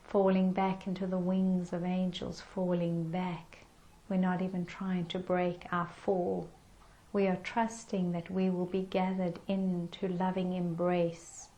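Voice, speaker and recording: A woman leading a guided meditation in a gentle, soothing manner with unhurried pauses. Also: on the low side, flat delivery.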